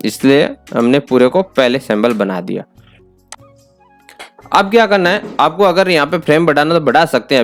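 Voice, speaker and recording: A man with an Indian accent hosting an informal step-by-step software tutorial, instructing and repeating key points. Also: medium pitch. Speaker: tutorial host.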